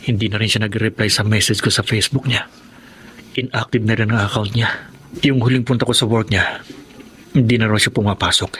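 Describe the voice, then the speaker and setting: A man's voice: lowish voice, fairly even intonation, fairly quick, a bit bright, slight background noise, close to an everyday voice. A man acting an evasive, defensive husband and father in a Tagalog radio drama. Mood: worried musing.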